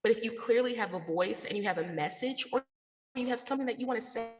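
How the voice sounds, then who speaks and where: A woman's voice: a little high, fast talker. American lady from the south, casual slangy interview talk, kinda echoey and reverby. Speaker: interview guest, podcast network founder.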